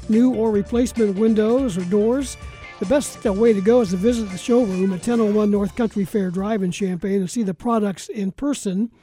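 A man's voice: high-pitched, dark tone. A man hosting radio sports talk in a casual style, moving between chat and sponsor reads. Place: radio studio.

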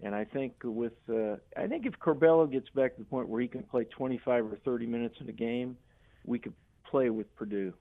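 A man's voice: medium pitch, fairly quick, creaky. A man in his sixties or older phoning a sports radio show to give his casual opinions. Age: 60s or older. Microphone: telephone. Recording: thin, narrow phone line.

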